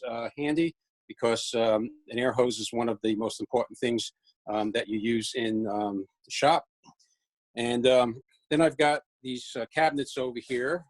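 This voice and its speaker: A man's voice: mid-range voice, poor audio. A man in his sixties with a New York accent, giving a casual, unscripted workshop tour in a hesitant, wryly self-deprecating way. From Brooklyn, New York City. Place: home basement-like workshop, indoors. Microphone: webcam, later handheld phone.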